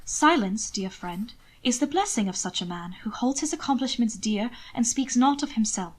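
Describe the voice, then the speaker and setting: Woman reading as a prim, prickly young gentleman. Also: fairly high pitch, expressive, normal speed. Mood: stern reading. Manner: stiff, formal, defensive. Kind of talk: fiction read aloud, character dialogue.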